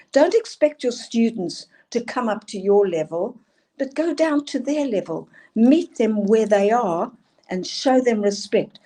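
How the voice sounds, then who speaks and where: Older South African woman, emphatic presenter, warm low-brightness tone. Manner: earnest, emphatic, asks for next slides. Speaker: school leadership speaker. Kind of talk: presenting slides in a talk.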